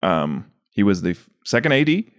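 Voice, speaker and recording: A man's voice: fairly low pitch, big pitch swings, moderate pace, no room sound, studio quality. A young adult man co-hosting a podcast in casual, comedic conversation. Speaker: podcast co-host.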